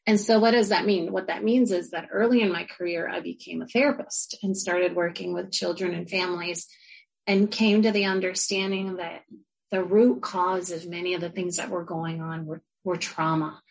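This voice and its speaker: A woman's voice: fairly low pitch, fairly even intonation, moderate pace, vocal fry, poor audio. A woman social worker and university educator with family from Argentina, answering interview questions earnestly and reflectively. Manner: earnest, reflective, with frequent fillers and restarts.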